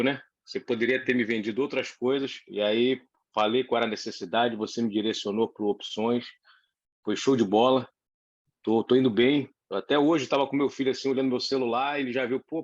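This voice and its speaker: A man's voice: medium pitch, steady tone. Friendly man on a muffled video call. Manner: friendly, rambling, halting restarts. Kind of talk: casual video call question.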